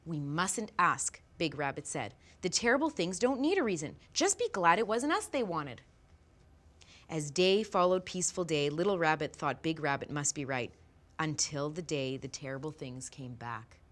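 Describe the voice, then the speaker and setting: A female teacher reading a picture book aloud to her class in an expressive storytelling manner. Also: low voice. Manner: expressive storytelling, slow and lively.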